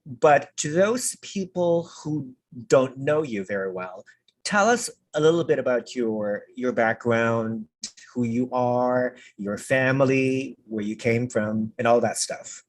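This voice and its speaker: A man's voice: mid-range voice, slow pace. A man hosting a casual video call interview, chatty and prone to repeating his words. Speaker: pageant interviewer.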